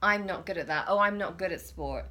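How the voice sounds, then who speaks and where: A woman's voice: lowish voice, a little fast, pushed and bright. A middle-aged woman hosting a reflective conversation, talking hesitantly with many restarts and fillers. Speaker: interview host.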